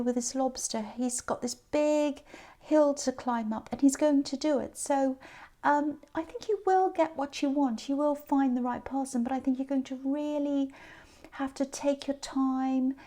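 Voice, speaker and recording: A woman with a hoarse, sore voice giving a casual tarot reading, chatty and meandering as she speaks straight to the viewer. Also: high-pitched, warm tone. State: hoarse, sore voice from talking a lot. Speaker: tarot reader.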